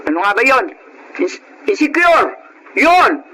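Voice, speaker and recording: An actress playing a sharp-tongued older mother-in-law who scolds with exaggerated delivery in a radio drama. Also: high voice, big pitch swings, unhurried, husky, exaggerated voice, rough recording. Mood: mocking, threatening. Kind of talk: Filipino radio drama acting.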